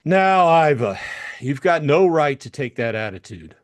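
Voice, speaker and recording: Detective lead for classic crime audiobook, male reader acting: weary, patient, evasive, very animated intonation. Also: a little high, unhurried, pitched above the performer's own voice. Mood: firm, concerned.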